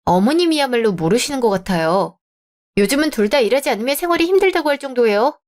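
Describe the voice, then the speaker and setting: A composed young woman who stays polite but will not back down, read by a woman in a radio drama. Her voice sits fairly high and her reasoning comes out steady. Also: fast talker, lightly acted. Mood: firm.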